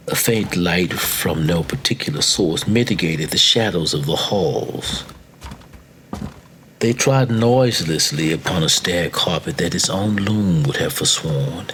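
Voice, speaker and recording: A man narrating a classic macabre story as an audiobook, with measured, deliberate phrasing. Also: deep voice, a bit bright, slight background noise. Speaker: story reader.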